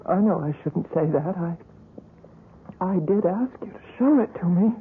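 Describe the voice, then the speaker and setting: A woman's voice: lowish voice, animated. An actress playing a shaken young woman visitor who speaks hesitantly in a 1970s radio drama. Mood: distressed. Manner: hesitant, halting speech. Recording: tinny lo-fi radio broadcast.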